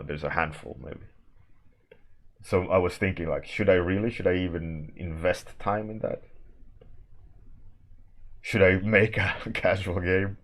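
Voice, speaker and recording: A man's voice: low voice, a bit bright. A man from Sweden with a Swedish accent, chatting casually on a stream while he draws, rambling with restarts and frequent laughs as he answers chat. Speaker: artist streaming a warmup session.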